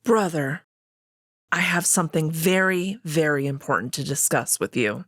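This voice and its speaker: A woman voicing a calm, stoic female wolf who is sister to the emperor, speaking in a measured way. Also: sits low, no echo, clean studio sound. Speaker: guest voice actor.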